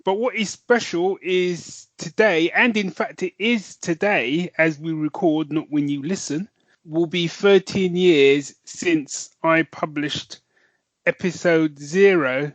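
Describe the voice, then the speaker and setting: Guy hosting a podcast, a bit high-voiced, dry close sound, keeps restarting sentences, laughs a lot. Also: slow, no room sound. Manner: chatty, laughs often, restarts phrases. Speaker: podcast co-host. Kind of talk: casual chat.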